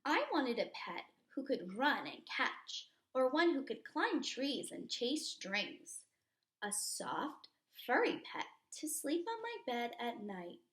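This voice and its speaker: Slow, animated woman reading a picture book. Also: sits high. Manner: deliberate, explains and comments on the pictures. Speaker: teacher reading aloud to a class. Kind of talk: expressive children's picture book read-aloud.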